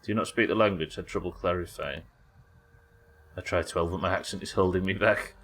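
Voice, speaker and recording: A man's voice: deep voice, steady tone, brisk, fairly bright tone. A young British man hosting a live gaming stream, casually rambling and reading chat messages aloud as he plays. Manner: rambling, reads chat messages aloud and muses over them. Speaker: streamer host.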